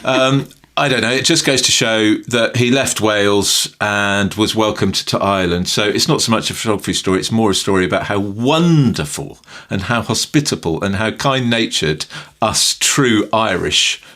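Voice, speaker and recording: Deep-voiced man, jokey podcast co-host. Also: normal speed, a bit bright. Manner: jokey, rambling, chuckles while talking. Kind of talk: casual chat.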